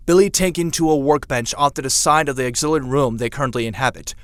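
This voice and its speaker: A man's voice: mid-range voice, fast, fairly bright tone, close to an everyday voice. A man narrating an audio drama in a scripted, dramatic storytelling style.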